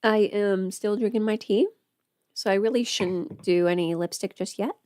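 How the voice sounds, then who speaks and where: A woman's voice: mid-range voice, moderate pace, mellow, no echo. A young woman chatting casually as a livestream host, informal and talkative, often putting rhetorical questions to her listeners. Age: young adult.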